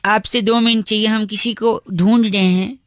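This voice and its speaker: Man putting on a very high, cheeky childlike prankster. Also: fairly even intonation, normal speed, dry recording, clean studio sound, lightly acted. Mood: storytelling. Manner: mock-polite, persistent, interrupting. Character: Baua, cheeky childlike prank-calling character. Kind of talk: comic prank phone calls.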